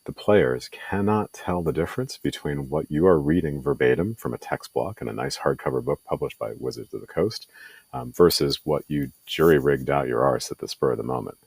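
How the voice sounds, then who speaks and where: A man's voice: extremely low pitch, a little fast, vocal fry, husky, dry recording. A middle-aged man presenting a game tutorial from his home laundry room, earnest and self-deprecating with frequent ums. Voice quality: radio voice. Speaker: indie game publisher and video presenter.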